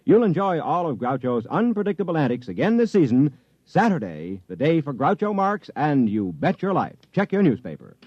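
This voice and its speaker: A man's voice: fairly high pitch, big pitch swings, normal speed, darkish tone, dry recording. A man reading an upbeat, persuasive 1950s radio promo. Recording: dull dry 1950s radio recording.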